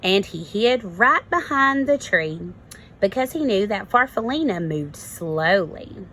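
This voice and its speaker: A woman, a kindergarten teacher, reading a storybook aloud and pausing to explain it simply to young children. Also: mid-range voice, animated, fairly bright tone, rough recording.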